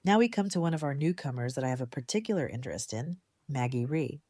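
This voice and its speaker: A woman playing a composed, decisive community leader in an audio drama, speaking in a measured, diplomatic way. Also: very deep voice, very animated, warm tone, dry recording, full character voice. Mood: thoughtful, assured. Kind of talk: fan audio drama dialogue.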